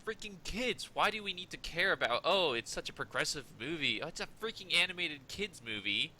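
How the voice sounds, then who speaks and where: A man's voice: fairly high pitch, sing-song, brisk, sharp tone, slight background noise, rough recording. A man co-hosting a casual podcast, debating movies in an argumentative way. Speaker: podcast co-host.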